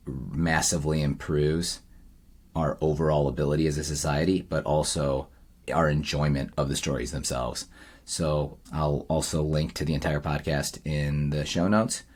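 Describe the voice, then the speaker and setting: A man giving a casual video diary update to viewers in a reflective, plain-spoken way. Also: a bass voice. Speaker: vlogger running a storytelling camp for kids.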